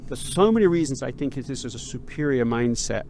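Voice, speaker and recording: Man, podcast host, medium pitch, stop-start delivery, reflective, faint background hiss. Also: animated, a little mellow. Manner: halting, with many repeated starts; reflective, builds ideas aloud. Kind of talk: conversational interview.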